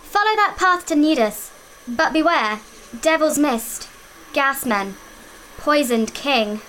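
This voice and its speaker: A woman's voice: sits high, expressive, takes it slowly, a bit bright, a little hiss or hum, exaggerated voice, poor audio. A woman voicing an ancient forest tree spirit who gives terse, cryptic warnings in clipped fragments. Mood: ominous. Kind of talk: audio drama acting. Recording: music score under voice.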